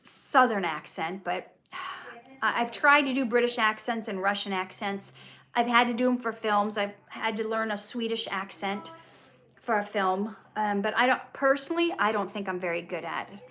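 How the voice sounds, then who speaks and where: Casting: American woman, middle-aged, slightly high voice, informal live-chat host who addresses viewers earnestly and supportively. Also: pushed and bright, slight background noise. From Minnesota, United States. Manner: earnest, encouraging, talking directly to viewers. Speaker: livestream host, actress and coach. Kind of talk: casual live question-and-answer chat.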